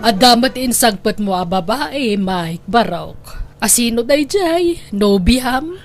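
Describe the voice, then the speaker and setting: A woman's voice: on the high side, animated, slow pace, soft background music. A woman with an Ilocano accent playing a nosy, plain-spoken older landlady in a radio drama. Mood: inquisitive, frank.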